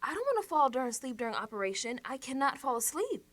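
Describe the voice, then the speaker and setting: A woman's voice: high voice, fast talker, no echo, heavily acted. An actress playing an exasperated, sassy young American woman in a colloquial radio drama monologue, slangy and confessional. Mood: fed up. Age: young adult. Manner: slangy, sassy, animated, sighing asides.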